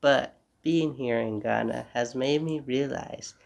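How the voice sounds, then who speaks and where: A man's voice: mid-range voice, slow, creaky. A young man hosting a casual solo podcast, stuffy from a cold, talking in a rambling, reflective way. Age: young adult. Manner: rambling, reflective, apologetic asides. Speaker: podcast host. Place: home room.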